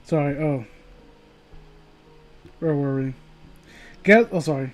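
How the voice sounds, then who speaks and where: A man with a notably high voice reads aloud to a livestream in a loose, informal way. He rambles, swears freely and often drops a sentence halfway to add mocking commentary. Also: slow, soft background music. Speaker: streamer reading stories aloud to viewers.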